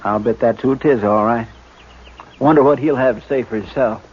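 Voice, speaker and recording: An actor playing a loyal, deferential frontier deputy who talks in a folksy drawl, in a 1950s radio drama. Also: male voice, medium pitch, normal speed, lightly acted. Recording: muffled old radio recording with loud hiss.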